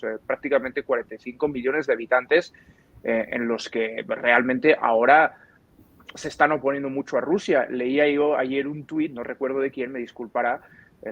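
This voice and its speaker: A man with a Spanish accent speaks as an analyst being interviewed. His delivery is careful and explanatory, at a middling pitch. The sound is dry and slightly muffled, like lo-fi call audio. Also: dry recording. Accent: Spain. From Barcelona, Spain.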